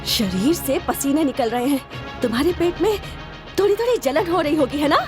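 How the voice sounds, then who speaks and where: A woman's voice: high voice, animated, moderate pace, music in the background. An actress playing a vengeful young bride in a melodramatic screen drama, confronting her murderous husband in a theatrical, accusing manner. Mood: taunting.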